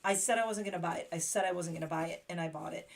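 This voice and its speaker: A woman hosting a casual makeup livestream, chatty and excitable, laughing often. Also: fairly low pitch, rapid-fire, a little hiss or hum. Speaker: beauty livestream host.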